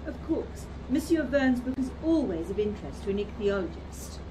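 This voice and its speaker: A woman playing an educated, spirited young Victorian gentlewoman in a radio play, speaking politely and formally. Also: fairly high pitch, expressive, normal speed, natural voice. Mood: polite, poised. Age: young adult. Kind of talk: steampunk radio play acting. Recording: clear background noise.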